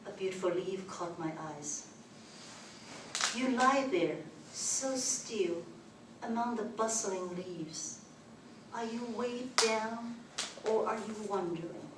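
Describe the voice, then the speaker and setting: Chinese-native woman reading poems slowly. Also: medium pitch. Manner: slow, careful recitation. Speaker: open mic poet. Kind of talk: poetry reading. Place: public library room. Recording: distant mic, echoey room, background noise.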